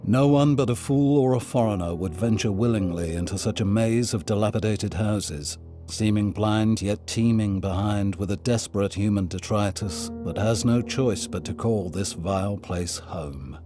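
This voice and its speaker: A man giving a grave, deliberate, dramatic reading of a literary extract. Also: lowish voice, mellow. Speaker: reader of novel extracts. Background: music.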